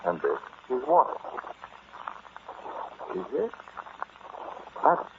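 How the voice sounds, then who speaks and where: An actor playing a boastful, callous treasure thief in an old-time radio horror drama, talking in a halting, intimate way straight to his listener. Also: mid-range voice, wildly swooping pitch, full character voice. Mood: menacing, gloating. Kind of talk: old-time radio horror acting, first-person narration. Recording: muffled, noisy vintage radio recording.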